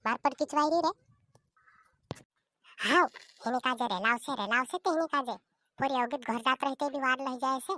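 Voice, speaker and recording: A woman's voice: sits high, sharp tone. A woman playing a bickering village relative in cartoon comedy, repeating herself as she scolds.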